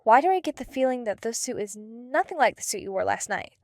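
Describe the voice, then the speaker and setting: A woman's voice: on the high side, animated, brisk, darkish tone, no room sound. A woman playing a composed, probing intelligence handler in an amateur radio drama, direct and businesslike. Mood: businesslike, testing.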